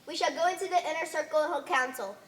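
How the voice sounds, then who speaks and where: Stern, commanding cult high priestess played by a woman, high pitch, formal and solemn stage delivery. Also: fast, fairly bright tone. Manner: formal, authoritative, solemn declamation. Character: stern, commanding cult leader of blue people, addressed as Holy One. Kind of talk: stage play acting. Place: theatre stage. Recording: live stage recording.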